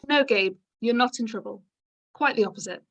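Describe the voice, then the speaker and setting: A woman acts an abrupt, jaunty hotel manager in her fifties for a drama reading. The voice sits fairly high and brushes objections aside briskly and breezily. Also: a bit bright. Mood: brisk, breezy. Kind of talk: script table-read acting.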